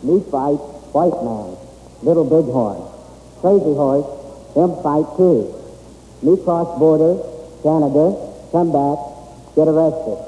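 Man putting on a dark-voiced stoic old-time warrior. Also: fairly high pitch, lively intonation. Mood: stoic, terse. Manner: clipped, terse, halting. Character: stereotyped stoic historical warrior in broken English. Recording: dull old radio recording, echoey hall.

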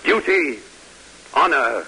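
A forceful, stern army major. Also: male voice, mid-range voice, lively intonation, slow pace, exaggerated voice, rough recording. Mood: fervent, proud. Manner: formal, commanding, idealistic oratory. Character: stern, dignified army major, new academy superintendent. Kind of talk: old-time radio drama acting. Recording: hissy vintage radio recording.

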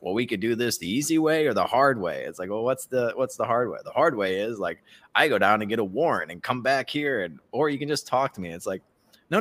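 A man's voice: medium pitch, fairly bright tone. A man giving casual, profane political commentary as a podcast host, ranting and mockingly acting out what others say.

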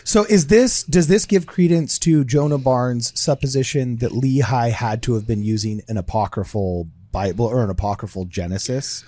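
A man co-hosting a podcast, retelling a story in an animated way and teasing his co-host. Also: medium pitch, normal speed, few pauses, no echo. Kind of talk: casual podcast chat.

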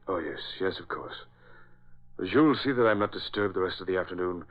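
Man acting cranky bossy older dad, 1940s radio play, deepish voice, tinny old recording. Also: brisk, close to an everyday voice. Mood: irritated, commanding. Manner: gruff, imperious, declamatory. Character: irascible, haughty older father, former diplomat and lecturer. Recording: band-limited vintage 1940s radio recording.

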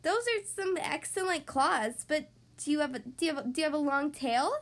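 A woman's voice: high voice, fairly quick, a bit bright. A woman voicing a picky, skeptical young boy at bedtime, blunt and earnest. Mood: indignant.

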